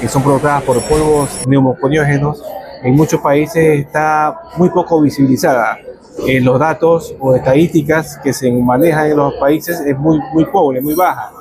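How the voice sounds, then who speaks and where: A man from Ecuador, a researcher who explains his work in a measured way, with some fillers. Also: on the high side, fairly even intonation, low-quality recording. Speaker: researcher, guest. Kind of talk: explanatory interview answers. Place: café during a power cut. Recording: crowd chatter behind.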